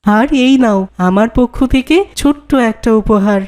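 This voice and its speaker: A woman's voice: on the high side, a little slow, a little mellow, lightly acted. A woman voicing a caring older boy cousin in a cartoon, with a coaxing, encouraging way of talking. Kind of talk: cartoon dialogue.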